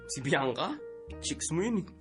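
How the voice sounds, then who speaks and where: A man's voice: on the high side, very animated, moderate pace, music in the background. A man acting as a lovesick, evasive younger brother in a Filipino radio drama, speaking casually and hesitantly. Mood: defensive, wistful.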